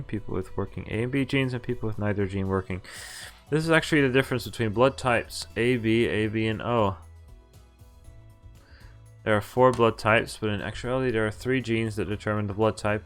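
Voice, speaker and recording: A man streaming a visual novel, reading it aloud with casual, amused asides. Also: on the low side. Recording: background game music behind voice. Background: game music.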